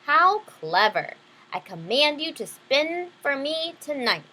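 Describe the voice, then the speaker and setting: Casting: woman as a greedy fairy-tale king, commanding, slow and drawn-out, storybook narration. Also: high voice, very animated, bright, forceful tone, pitched above the performer's own voice, exaggerated voice, low-quality recording. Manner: imperious, drawn-out delivery.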